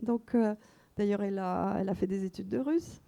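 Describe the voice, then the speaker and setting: A woman's voice: mid-range voice, a little fast, creaky, mellow. A woman whose first language is Russian, speaking as a guest author at a festival, reflective and hesitant with many fillers. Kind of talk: unscripted festival talk. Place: festival meeting room with audience.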